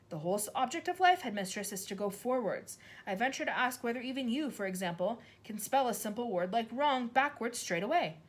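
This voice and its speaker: A woman voicing a gentle young schoolteacher who speaks politely. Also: fairly high pitch, voice pushed up. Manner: polite, measured.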